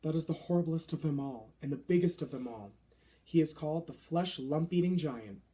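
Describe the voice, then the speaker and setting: A man voicing a gentle, nervous giant in a children's storybook, speaking softly with quaint muddled grammar and nonsense words. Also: a little high, brisk, natural voice. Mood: hushed warning.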